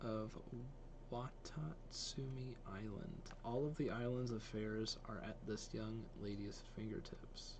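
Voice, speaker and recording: A man's voice: on the low side, flat delivery, normal speed, hardly any pauses, noisy background. A young adult man chatting casually as a reaction video host, slangy and thinking aloud. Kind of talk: casual chat, reacting to game trailers.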